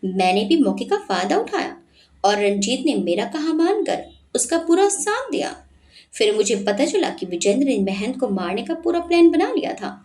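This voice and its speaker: Woman voicing a bitter villainous male politician, high-pitched. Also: very animated, normal speed, darkish tone. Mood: bitter confession. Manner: snarling through gritted teeth, resentful.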